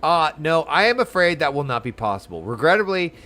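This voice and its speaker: A man's voice: a little high, very animated, fairly quick, sharp tone. A man reading aloud a formal, deferential guard officer from a fantasy game. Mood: apologetic.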